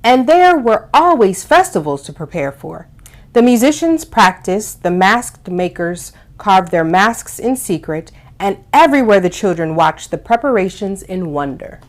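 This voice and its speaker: A woman's voice: mid-range voice, very animated, measured pace. A woman hosting a children's storytime, explaining and reading aloud expressively, stressing and stretching key words for young listeners. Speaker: host of a children's storytime show.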